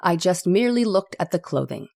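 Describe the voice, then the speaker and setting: A woman's voice: lowish voice, animated, a little fast, vocal fry, darkish tone, no room sound, studio quality. A woman acting an insistent, skeptical male defense attorney who presses a witness with pointed questions in a courtroom transcript drama. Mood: methodical, pressing. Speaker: voice actor.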